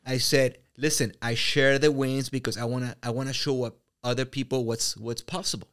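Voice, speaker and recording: A man from Mexico with a Mexican Spanish accent, an immigrant business owner telling stories as a podcast guest, animated, repeating words and using many fillers. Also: medium pitch, moderate pace. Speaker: real estate brokerage owner, guest. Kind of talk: casual podcast interview.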